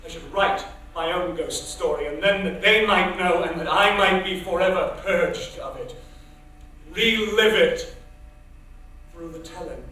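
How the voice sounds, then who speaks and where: An actor playing a brisk, commanding young theatre man, declaiming dramatically on stage. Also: high-pitched, bright, forceful tone. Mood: solemn. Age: young adult. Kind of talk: theatrical stage acting, projected storytelling. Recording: echoey theatre, far mic, faint noise.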